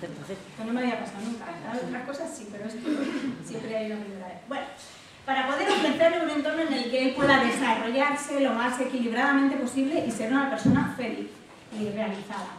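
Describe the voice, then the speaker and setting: A woman's voice: on the high side, fairly quick. A woman with an accent from Spain giving an informal, conversational public talk as a guest speaker. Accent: Spain Spanish. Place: echoey indoor hall at a public talk. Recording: very echoey hall, distant mic, background noise.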